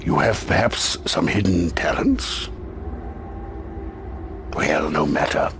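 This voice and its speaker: An actor playing a stern, sardonic military advisor in a video game briefing, theatrical, moving between exhortation and insinuation. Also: male voice, animated, slow, noisy background, heavily acted. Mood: sly, menacing. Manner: theatrical, swinging from loud exhortation to low insinuation.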